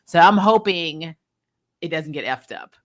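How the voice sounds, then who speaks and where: A woman hosting a casual, opinionated video review, talking candidly to viewers and retelling things in an animated, mildly sweary way. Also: deep voice, a little slow. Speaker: video host reviewing a TV episode.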